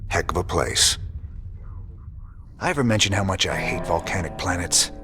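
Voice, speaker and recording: Low-voiced, wry rebel commander played by an actor. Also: male voice, normal speed, over music, lightly acted. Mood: dry, sardonic. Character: weary, wry rebel commander, gruff frontier soldier. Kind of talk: video game cutscene dialogue.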